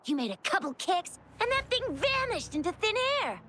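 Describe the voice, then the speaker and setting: A woman voicing an excitable, cheeky young female companion in an anime-style game, bubbly and exclaiming. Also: very high-pitched, normal speed, a little hiss or hum, full character voice. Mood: teasing, impressed. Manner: bubbly, exclaiming, folksy wording. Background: game music.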